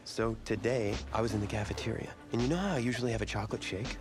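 A man playing a stressed, proud high school football star in a video game cutscene, casual and sardonic with an American accent. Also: fast talker, natural voice. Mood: wry. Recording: background music under the voice.

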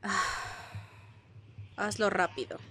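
Tough deadpan cowgirl played by a woman. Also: mid-range voice, slow pace, background noise, lightly acted. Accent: Latin American Spanish. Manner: deadpan, curt, commanding. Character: tough, unimpressed young cowgirl gunslinger. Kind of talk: animated cartoon dub. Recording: music behind the voice.

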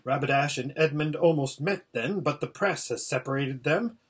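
A man's voice: a little high, moderate pace, slightly rough. A man voicing an impatient old hermit seer in a storybook, giving an anxious, exclamatory running report. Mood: urgent. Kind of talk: storybook character voice.

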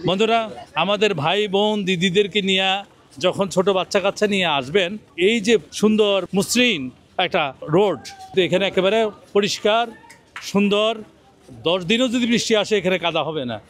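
A man's voice: sits high, a bit bright. A middle-aged man reporting from an outdoor livestock market into a handheld mic, persuasively addressing viewers. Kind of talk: on-location promotional interview. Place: outdoor livestock market under a bamboo shed.

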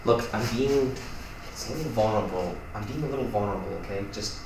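A man plays a guilty, sheepish male roommate in a naturalistic drama. He stammers and hesitates, and he is heard from a distance in a very echoey room with clear noise behind him. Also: on the low side, quick pace. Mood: nervous, guilty. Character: sheepish, guilty male roommate confessing odd habit, desperate. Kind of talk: naturalistic acted scene.

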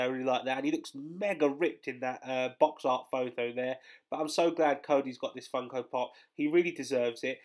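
Congested man rambling cheerfully. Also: on the high side. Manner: chatty and rambling, many fillers. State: congested, under the weather. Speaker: collectibles channel host. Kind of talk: casual news vlog voice-over.

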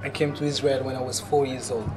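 A young man from Ethiopia, a hip hop musician, answering interview questions haltingly, searching for words. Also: mid-range voice, flat delivery, music in the background.

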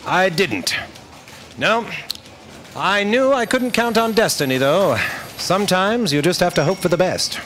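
An actor playing an intrepid, courteous space detective, explaining things calmly in a staged audio drama. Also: male voice, high voice, measured pace, pauses often. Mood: calm, deductive. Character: intrepid male space detective, calm and polite. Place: theatre stage, indoors. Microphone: stand microphone. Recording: live stage recording with hiss.

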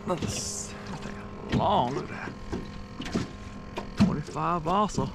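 A man's voice: fairly high pitch, unhurried. A young man talking casually and slangily as he films a fishing vlog on a small open boat. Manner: slangy, short exclamations. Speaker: fishing vlogger. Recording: loud wind and boat noise, clicks.

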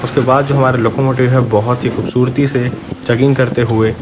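A man's voice: mid-range voice, steady tone, a little fast. A man narrating a train journey vlog in a casual, friendly way, talking to his viewers. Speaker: travel vlogger. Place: on a moving train. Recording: train noise behind the voice. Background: train and wind noise.

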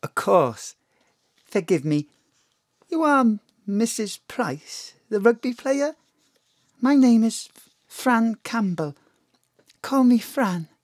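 A polite sad old-fashioned mum in a radio play, slow talker, dry close sound. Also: female voice, a little high, sing-song, darkish tone, dry recording, exaggerated voice. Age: 30s. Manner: polite, hesitant, earnest. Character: Fran, polite, dignified, grieving old-fashioned mother in her thirties. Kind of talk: audio drama acting.

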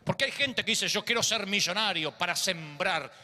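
Brief: man in his thirties, pastor, high and very sharp-toned, animated stage sermon in a big reverberant hall. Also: moderate pace. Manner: theatrical, acts out quotes, pacing the stage. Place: large glass church auditorium. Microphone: handheld mic.

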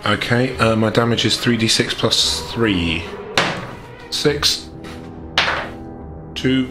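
A man's voice: mid-range voice, slow pace. A man speaking casually as a player in a tabletop role-playing game. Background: background music.

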